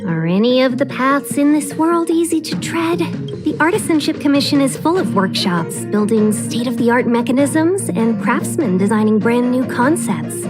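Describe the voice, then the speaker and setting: A woman's voice: high voice, expressive, moderate pace, music in the background. A woman playing a composed, polite, cautious young guide in a video game, explaining things in a formal manner. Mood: calm, cautioning. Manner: formal, expository. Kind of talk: video game dialogue acting.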